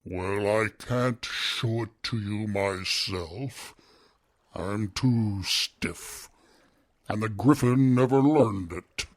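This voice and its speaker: A man playing a sorrowful, self-pitying male sea creature who speaks slowly and tearfully. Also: fairly low pitch, no echo, studio quality. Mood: mournful. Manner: slow, drawn-out, tearful delivery.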